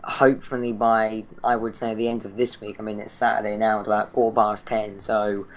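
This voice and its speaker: A teenage British boy, hoarse and croaky from a sore throat, introducing his gaming channel in a rambling, chatty way full of fillers and restarts. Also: lowish voice, flat delivery, brisk. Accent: British English. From Britain. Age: teens. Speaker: channel host.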